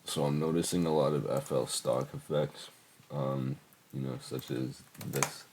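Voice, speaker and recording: Late-teen male, cold-stuffed and nasal-sounding, very deep and slow, loose sweary screen-recording chatter. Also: steady tone, over loud music. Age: late teens. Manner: rambling, sweary, lots of fillers. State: congested from a cold. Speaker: YouTuber reviewing his old music. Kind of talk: casual screen-recording commentary.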